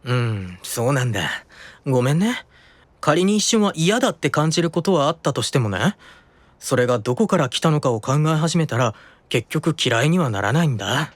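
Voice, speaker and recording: An actor playing a gentle, unflappable, curious young man in an anime-style audio drama, with a mild musing manner. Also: mid-range voice, very animated, normal speed, dry recording. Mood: calm, matter-of-fact.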